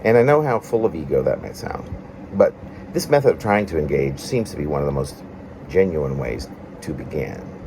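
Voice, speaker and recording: Low-voiced man reading his poem, lively intonation. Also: moderate pace, a little hiss or hum. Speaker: poet reading own work. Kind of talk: reading a confessional prose-like poem aloud.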